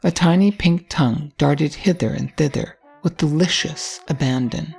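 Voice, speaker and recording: An older woman with a husky, gravelly voice reading a scripted story in a measured storytelling manner. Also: low voice, a little mellow, faint music behind. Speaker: narrator.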